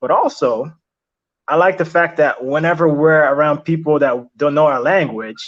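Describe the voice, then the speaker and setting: A young man whose first language is Italian, answering interview questions in a reflective, halting way with many restarts and fillers. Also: a little high, a bit bright. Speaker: interview guest. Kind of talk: casual interview chat. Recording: slightly dull lo-fi sound.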